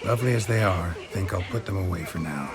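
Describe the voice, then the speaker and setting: A man voicing a stoic, weary monster hunter in a video game, terse and deadpan. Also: low-pitched, fast talker, music in the background, lightly acted. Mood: dry, unimpressed.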